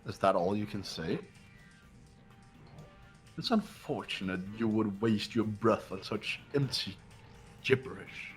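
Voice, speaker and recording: A man's voice: fairly low pitch, takes it slowly, long pauses, faint music behind. A man playing an arrogant, cold young heir in a fan-voiced game, speaking in a formal, condescending way. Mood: haughty, cold. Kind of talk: amateur voiced video game playthrough.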